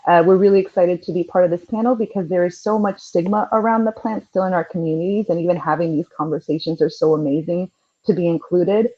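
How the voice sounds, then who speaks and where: A woman's voice: lowish voice, steady tone, fast. A woman speaking informally as a panelist in marketing, explaining her industry, with slightly dull sound. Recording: slightly dull call audio.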